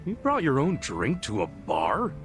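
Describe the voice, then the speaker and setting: A man's voice: medium pitch, wildly swooping pitch, a little slow, music in the background. An actor with an American accent playing an earnest, easygoing, streetwise man in a video game, talking casually and bluntly. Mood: curious, teasing. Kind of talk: dubbed video game cutscene acting.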